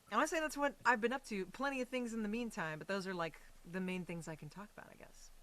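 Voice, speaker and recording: A woman's voice: medium pitch, fast talker, fairly bright tone. An American woman talking casually and animatedly as an interview guest. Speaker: guest, voice and stage actress.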